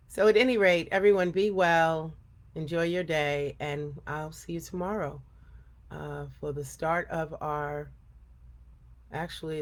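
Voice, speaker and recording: Woman hosting a children's storytime. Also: lowish voice. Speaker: read-aloud storytime host. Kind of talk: expressive children's story narration and casual chat.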